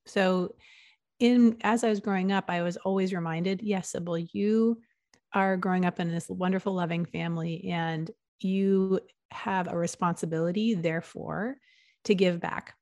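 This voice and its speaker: A woman's voice: lowish voice, steady tone, normal speed, a little mellow, no room sound, clean studio sound. A woman from the US East Coast in her forties, a podcast guest talking earnestly and upbeat with frequent restarts. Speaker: guest, nonprofit consultant. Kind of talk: podcast interview.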